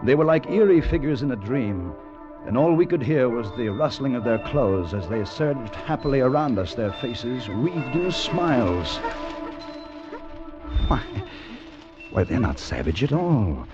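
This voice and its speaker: A man's voice: mid-range voice, big pitch swings, measured pace. An actor playing an earnest, wondering time traveler who recounts his adventure in a 1950s radio drama. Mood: wondering, grave. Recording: muffled old radio recording, music behind.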